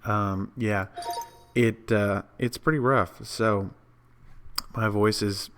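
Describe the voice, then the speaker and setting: A sick man with a hoarse voice, co-hosting a casual fantasy football podcast and chatting easily with plenty of fillers. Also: lowish voice, fairly even intonation, slow pace, fairly bright tone, a little hiss or hum. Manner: easygoing, lots of fillers. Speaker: podcast co-host. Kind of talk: casual chat.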